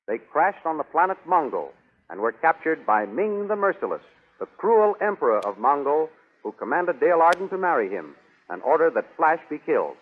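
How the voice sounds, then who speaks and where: A man's voice: a little high, big pitch swings, moderate pace. An American man announcing and narrating in formal, dramatic 1930s radio style, with declamatory delivery. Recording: tinny 1930s radio broadcast recording.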